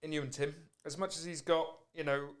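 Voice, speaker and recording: A man hosting a podcast in casual talk. His voice sits a little higher than most men's. He sounds reflective and encouraging, with frequent backchannel agreement. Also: level tone, brisk. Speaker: podcast host. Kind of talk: casual conversation.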